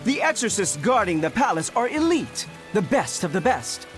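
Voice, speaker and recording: Very high-pitched, insistent townsman. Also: very animated, moderate pace, fairly bright tone, faint music behind. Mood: adamant disbelief. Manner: emphatic, sceptical. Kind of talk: video game voice acting.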